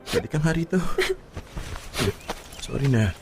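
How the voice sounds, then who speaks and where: A man's voice: on the high side, unhurried. A man acting an earnest, conciliatory young boyfriend with a Filipino accent in a soothing radio drama performance. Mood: soothing, coaxing. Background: music behind the voice.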